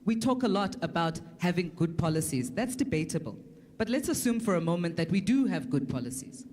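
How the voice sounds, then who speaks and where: An articulate South African woman speaks as a panelist at a public forum. She builds a political argument emphatically, with deliberate phrasing and repetition to stress each point. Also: fairly low pitch, darkish tone, a little hiss or hum.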